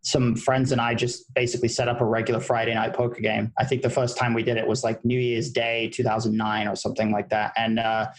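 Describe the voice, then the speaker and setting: Relaxed British guest in his 30s with a slightly Americanized accent, thoughtful and meandering, mid-pitched voice. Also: a little fast, hardly any pauses, poor audio. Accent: British, partly Americanized. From United Kingdom. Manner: easygoing and thoughtful, with many restarts. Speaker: guest, poker player and coach. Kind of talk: casual podcast interview.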